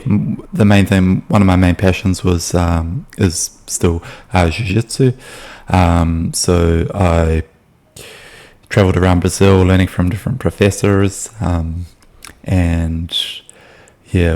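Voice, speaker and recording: Brief: young New Zealand man, deep voice, relaxed chatty explainer delivery. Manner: loose and chatty, with many ums and restarts. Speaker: sales consultant. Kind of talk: casual explainer video.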